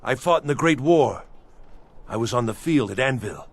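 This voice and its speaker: An actor playing a bitter, down-on-his-luck old army veteran in a fantasy game, with a husky, rough voice. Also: mid-range voice, expressive, moderate pace, natural voice. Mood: indignant, bitter. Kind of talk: fantasy game character acting.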